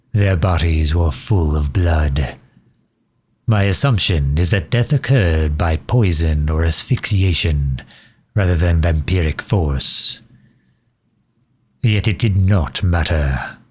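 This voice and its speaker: Man voicing a very deep, slow, solemn vampire lord. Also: a little mellow. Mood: grave, indignant. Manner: formal, measured, grave. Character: centuries-old male vampire leader, solemn, dignified refugee. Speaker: audio roleplay performer. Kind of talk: scripted ASMR roleplay monologue.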